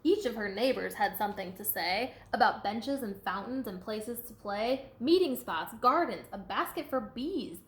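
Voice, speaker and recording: A woman reading a children's picture book aloud with expressive emphasis and chatty asides to listeners. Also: fairly high pitch. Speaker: storybook reader. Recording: echoey room.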